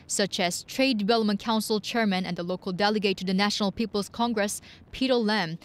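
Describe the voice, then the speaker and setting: A woman reporting the news in scripted narration. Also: medium pitch.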